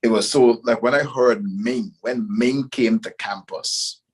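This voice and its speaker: A man from Guyana speaking on a panel, reflective and halting. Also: medium pitch, slightly rough. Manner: reflective, halting, repeats words. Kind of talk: panel discussion.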